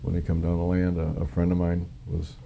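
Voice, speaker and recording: A middle-aged American man speaks in a very low, heavily fry-laden voice with little melodic movement. He gives plain-spoken, halting testimony as a former military witness in an interview. Clear instrumental music plays behind him. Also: completely flat delivery, dark tone, lo-fi sound, a little hiss or hum. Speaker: former military air traffic controller, witness.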